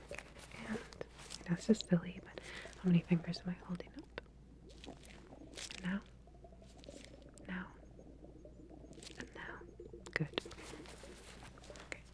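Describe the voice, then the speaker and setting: Woman, breathy whisper, low-pitched, soft reassuring instructions, ASMR medical exam roleplay. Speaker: ASMR roleplayer playing a lab researcher giving a medical exam.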